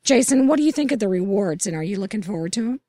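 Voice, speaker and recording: A woman hosting a casual podcast roundtable, hoarse from losing her voice, upbeat and enthusiastic. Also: medium pitch, animated, quick pace, warm tone, no room sound, studio quality. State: hoarse, lost voice. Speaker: podcast host.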